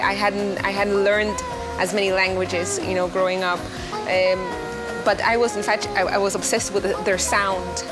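A woman's voice: mid-range voice, rough recording. A woman in her thirties with a Greek accent, a musician answering interview questions thoughtfully, with many fillers and restarts. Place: dim restaurant or bar, indoors. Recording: soft music underneath.

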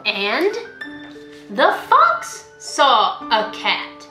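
A young woman, a school librarian, reading a picture book aloud to children in an expressive, sing-song way. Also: fairly high pitch, extremely slow, roomy sound, soft background music. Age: young adult. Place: indoor room at home, by a window.

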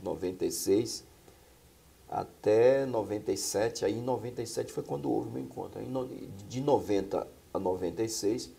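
A man's voice: sits low, creaky, mellow. A middle-aged man from Bahia, a pastor and singer, telling his life story as a guest in a small studio. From Bahia, Brazil. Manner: earnest storytelling with frequent tag questions.

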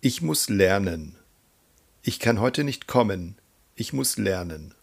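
Slow, low-voiced man teaching, slightly husky. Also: expressive. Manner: deliberate, instructional, repeats sentences. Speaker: German language teacher. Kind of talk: scripted grammar drill, reading example sentences.

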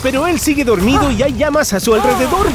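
Excitable cartoon narrator, high-pitched, very lively intonation, exclamatory, speaking to the characters. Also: female voice, quick pace. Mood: alarmed, urgent. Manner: exclamatory, addressing the characters directly. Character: cheerful, excitable narrator of a children's truck cartoon. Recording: music behind the voice.

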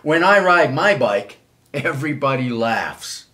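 An older man reads a children's storybook aloud in an expressive, measured way. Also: medium pitch. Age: older, 70s. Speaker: children's author reading own book. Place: small wood-panelled room, indoors.

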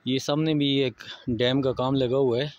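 A man with a Pakistani Urdu accent, walking a mountain road and calmly pointing out sights to his viewers. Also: medium pitch, no echo, highs cut off. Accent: Pakistani Hindko-area Urdu. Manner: unhurried, pointing things out to viewers. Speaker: travel vlogger. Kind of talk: casual walking tour narration.

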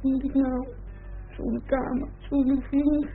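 An actress playing an unhappy young adult daughter in a radio play, sullen, petulant and contrary. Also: female voice, high voice, level tone, slow. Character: unhappy young daughter, sulky, contrary, attached to her father. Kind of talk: Dutch radio play acting. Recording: muffled phone-like old radio recording, hissy.

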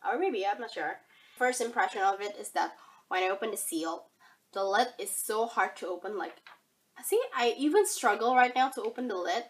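Young woman, husky from a cold. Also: medium pitch, moderate pace. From Indonesia. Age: young adult. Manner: chatty, rambling, upbeat. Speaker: beauty vlogger. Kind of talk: casual product review vlog. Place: bedroom, indoors. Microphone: camera facing her at arm's length.

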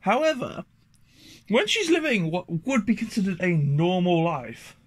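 A man presenting a video essay in a casual, thoughtful, pausing way. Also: high-pitched, sing-song, breathy edge, no room sound.

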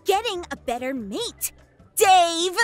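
Very high, sassy werewolf girl. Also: sing-song, takes it slowly, soft background music, heavily acted. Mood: scornful. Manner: sassy, drawling. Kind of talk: animated web roleplay acting.

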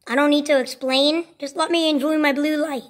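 A woman's voice: high-pitched, steady tone, brisk, lightly acted. A woman playing an aloof, dismissive cartoon hedgehog speedster in an improvised toy play skit.

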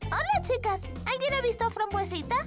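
A woman's voice: extremely high pitch, expressive, a little fast, loud music behind the voice. An actress voicing a kind, take-charge little girl in a children's cartoon, earnest and eager. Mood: friendly.

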